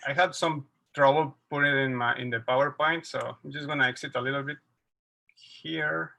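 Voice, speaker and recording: A young man with a Mexican Spanish accent presents over a computer microphone on a video call. His voice is bright and forward. He speaks informally, restarts his sentences often, and stops to fix his wording. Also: mid-range voice, fairly even intonation, moderate pace, vocal fry. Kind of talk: casual slideshow talk over video call. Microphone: computer mic on a video call.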